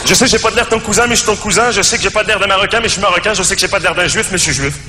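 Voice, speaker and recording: A man's voice: high-pitched, rapid-fire, bright, forceful tone. A man playing an earnest young Québécois cousin who rambles in colloquial speech with a Québécois accent. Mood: earnest, rambling. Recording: echoey hall, clear background noise.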